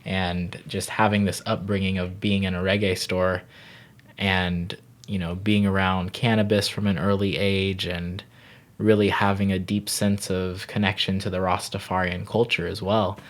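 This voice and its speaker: A man's voice: low voice, flat delivery. A man in his thirties from Barbados, a yoga teacher talking unhurriedly and thoughtfully as a podcast guest. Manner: unhurried, thoughtful, frequent "you know". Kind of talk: reflective podcast interview.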